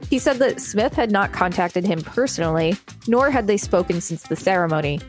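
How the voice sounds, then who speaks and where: A woman's voice: mid-range voice, animated, normal speed, faint music behind. A woman co-hosting a podcast, moving between reading an article and casual chat. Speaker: podcast co-host.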